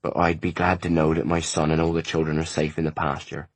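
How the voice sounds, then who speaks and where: A man's voice: a bass voice, level tone, fairly quick. A man voicing a weary, grieving peasant mother, sorrowful and pleading, in an audiobook.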